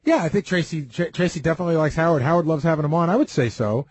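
A man's voice: fairly high pitch, very fast, a little mellow, breathy edge, no room sound. A man chatting casually on a radio talk panel, telling anecdotes in a blunt, profane way.

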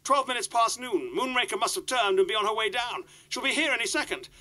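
1950s live commentator at sea, very high sharp voice, urgent, exclamatory, faint noise. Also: male voice, quick pace, full character voice. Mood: tense, intrigued. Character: excited male radio commentator reporting live at sea. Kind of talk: 1950s live outside broadcast commentary, acted.

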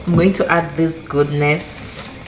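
A woman's voice: sits low, steady tone, slow pace, background noise. A woman from Cameroon in her thirties hosting a cooking tutorial in her home kitchen, eagerly explaining each step as she cooks. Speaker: cooking show host. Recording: echoey kitchen with faint music.

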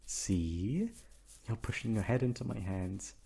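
A man's voice: on the low side, a little slow, creaky, warm tone, breathy edge. A man acting as a teasing, affectionate boyfriend in an intimate ASMR roleplay, coaxing and pleading playfully. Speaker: voice actor performing an audio roleplay.

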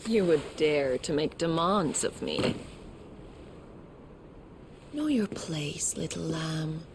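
Haughty villainess for a fantasy game: cruel, deliberate, wide swoops of pitch, clear effects noise behind. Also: female voice, animated, full character voice. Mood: haughty, menacing. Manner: haughty, theatrical, deliberate phrasing. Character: haughty, cruel female warrior villain, taunting and imperious. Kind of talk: dramatic video game cutscene acting.

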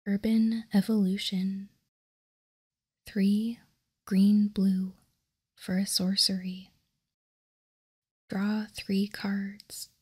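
A woman whispering softly in an unhurried, measured ASMR style as she reads out and comments. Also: mid-range voice, flat delivery. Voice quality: breathy, unvoiced whisper. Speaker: host of a card-game ASMR show.

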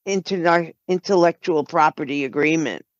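An older woman speaking as an interview guest, halting and deliberate, in a slightly dull recording. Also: deep voice, dry recording. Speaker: guest, game writer and producer. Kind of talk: conversational interview.

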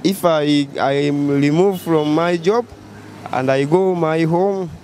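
A man's voice: fairly high pitch, slow pace, a little mellow. A young man answering street quiz questions, hesitant and searching for words, into the host's handheld mic. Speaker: passer-by interviewee. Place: busy street outdoors. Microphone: handheld mic held by host. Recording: street traffic noise behind.

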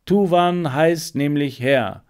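A man's voice: a little high, slow, darkish tone, smooth. A man voicing a proud, garrulous menagerie owner in an audiobook, chatty, with little hesitant interjections. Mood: casual, grumbling.

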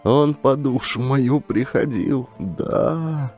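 A man voicing a frightened, superstitious old man who cries out in a high, agitated voice in a storybook reading. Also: takes it slowly, darkish tone, soft background music, lightly acted. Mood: fearful insistence.

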